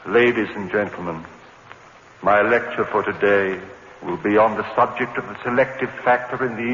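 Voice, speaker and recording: A man's voice: fairly low pitch, fairly bright tone. An actor playing a tormented male lecturer who narrates in a brooding voice and breaks into frantic cries as he slides into madness, in 1940s radio acting style. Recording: dull old radio recording with faint hiss.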